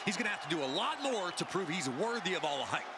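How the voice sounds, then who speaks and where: A man's voice: high voice, very animated, fast. A man doing wrestling commentary as a sarcastic, needling cocommentator. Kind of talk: play-by-play commentary. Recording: game commentary over loud arena noise.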